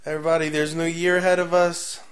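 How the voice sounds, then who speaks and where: A man's voice: a little high, flat delivery, fast talker. A man co-hosting a podcast, sounding under the weather, teasing and swearing through casual banter. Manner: teasing, profane. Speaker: podcast co-host.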